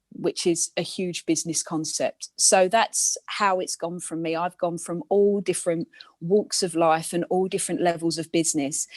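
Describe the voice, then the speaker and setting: A woman with a fairly low voice gives a motivational talk to students. She is earnest and emphatic, advising directly, and the sound is dry and close. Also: darkish tone, no echo. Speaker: guest speaker, industry professional.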